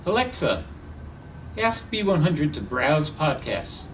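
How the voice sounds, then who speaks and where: A man demonstrates a product in a somewhat high voice. He sounds a little way from the microphone in an echoey room, with slight noise behind him. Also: very animated, slow. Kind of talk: spoken product demo. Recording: roomy, distant mic, faint noise.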